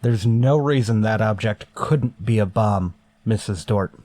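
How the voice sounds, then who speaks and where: A man playing a stern, wary starship captain in a sci-fi radio drama, giving curt orders with measured authority. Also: on the low side, dark tone, no room sound, lightly acted. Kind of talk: golden age sci-fi radio drama acting.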